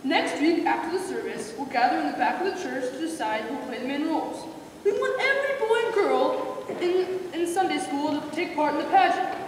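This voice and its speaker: A woman's voice: high-pitched, expressive, normal speed. A woman playing an earnest, lecturing official in a readers theater skit. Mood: announcing. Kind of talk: readers theater stage acting. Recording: noisy echoey hall, far from mic.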